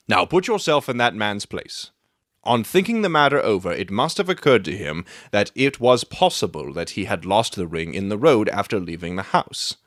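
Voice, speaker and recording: Man acting, self-assured gentleman detective, lowish pitch, very animated intonation, articulate, expounding, storybook drama, close dry sound. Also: no room sound. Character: self-assured gentleman detective, eager to explain his deductions. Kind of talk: dramatic audiobook reading.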